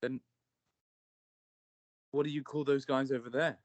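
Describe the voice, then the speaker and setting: Guy playing a baffled newcomer who wont believe it, mid pitch, dry call audio. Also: steady tone, a little slow, no echo, close to an everyday voice. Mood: incredulous. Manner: incredulous, questioning. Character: confused, defiant newcomer, a scrunched paper ball. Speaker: actor in a script table read. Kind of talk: amateur table read over a group call.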